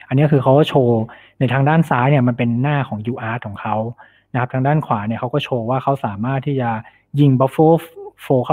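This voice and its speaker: A man's voice: medium pitch, slightly rough. A man giving an informal technical presentation as a cybersecurity specialist, explaining things and mixing in English technical terms. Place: online meetup over slides. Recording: thin phone-like online meeting audio.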